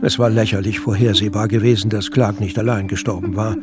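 A man's voice: fairly low pitch, steady tone, brisk, music in the background. A man reading scripted fiction narration in German as the narrator of an audio drama.

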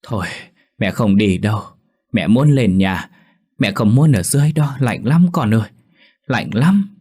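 A man's voice: fairly low pitch, expressive, unhurried, darkish tone, slightly rough. A man voicing a frail, starving old woman who pleads and whimpers to her son. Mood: pleading.

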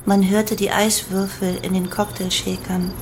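A woman's voice: medium pitch, level tone, measured pace, darkish tone, slight background noise, lightly acted. A woman playing a young female cult follower who recounts her life and crimes matter-of-factly in a German radio play.

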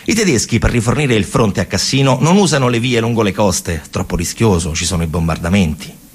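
A man's voice: lowish voice, animated, brisk, breathy edge. A man performing a theatrical narrative monologue, telling the story emphatically in short, colloquial, repeated phrases. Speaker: stage actor and narrator.

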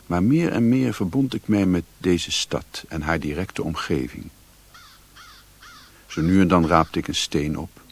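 An actor playing a reflective adventurer who narrates his own story in a radio drama, with a husky voice. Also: low voice, a little slow. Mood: reflective, narrating.

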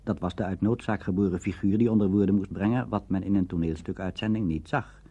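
A man's voice: low voice, steady tone, fast, warm tone, breathy edge, highs cut off. A man narrating a radio documentary from a script, as its presenter.

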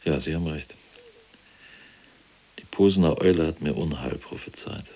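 An actor playing an imprisoned former SS general in a radio play, cold and self-righteous, telling his story in a deliberate, dramatic way. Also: dark tone. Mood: grim. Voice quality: very deep, gravelly, husky. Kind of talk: German radio play acting, monologue.

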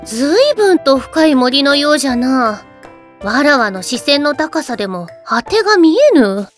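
A woman's voice: sits high, expressive, unhurried, soft background music. A woman playing a female wind dragon in an anime audio drama, speaking in archaic, self-important phrases. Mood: stately. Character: female wind dragon with old-fashioned regal speech. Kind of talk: Japanese anime drama CD acting.

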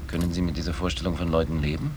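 An actor in a radio drama playing a terse, aloof gentleman who speaks formally in clipped questions. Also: low voice, little pitch movement, rapid-fire, natural voice. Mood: probing. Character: terse, aloof, well-dressed male stranger. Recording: noisy ambient background.

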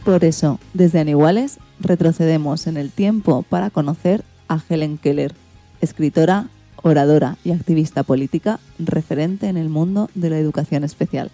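Spanish woman presenting, mellow lowish voice. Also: moderate pace, soft background music. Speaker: host. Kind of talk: scripted programme presentation.

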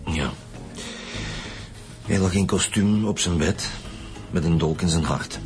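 A man's voice: sits low, takes it slowly. An actor playing a dry, probing male police detective in a radio drama, speaking matter-of-factly. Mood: formal, probing. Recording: faint music behind.

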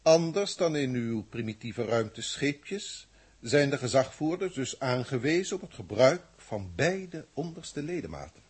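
An actor in a 1960s science-fiction radio drama playing a formal, grandiloquent alien proctor who speaks deliberately and pompously. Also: male voice, medium pitch, very animated, a little mellow, husky, heavily acted. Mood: lofty, instructive. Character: authoritative, grandiloquent alien proctor from a galactic federation.

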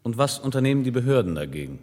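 A man's voice: medium pitch, sing-song, rapid-fire, darkish tone. An actor playing a polite, persistent reporter in a German radio play, formal and courteous as he probes. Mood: probing.

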